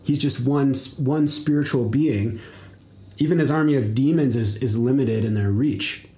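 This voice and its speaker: A young man from Canada preaching a sermon in an earnest, measured way, often repeating words as he goes. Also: medium pitch, warm tone. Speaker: pastor. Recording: echoey room.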